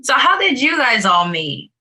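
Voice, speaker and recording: A woman interviewing guests on her podcast, upbeat and eager. Her voice is bright and carries strongly. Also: high voice, sing-song, moderate pace. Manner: upbeat and loud, eager questions. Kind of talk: casual interview.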